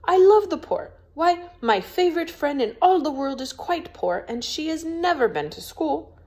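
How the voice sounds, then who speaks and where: A woman's voice: high voice, sing-song, moderate pace, darkish tone, pitched above the performer's own voice. Woman doing a snooty proper young lady from a storybook, scolding, easily offended, voice swings up and down a lot. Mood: indignant. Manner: prim, formal, scolding.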